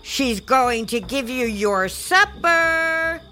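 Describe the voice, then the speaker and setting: A woman's voice: sits high, expressive, extremely slow, bright, forceful tone, slight background noise, heavily acted. A woman playing a menacing, unhinged aunt in an audio drama, coaxing with false sweetness that turns threatening. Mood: wheedling, sinister. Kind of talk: audio fiction acting for children.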